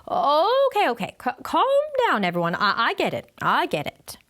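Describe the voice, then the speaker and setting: A woman's voice: fairly high pitch, wildly swooping pitch. Woman playing a patient, bemused male schoolteacher. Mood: calming, accepting. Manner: halting, gently questioning. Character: patient, bemused male first-grade teacher.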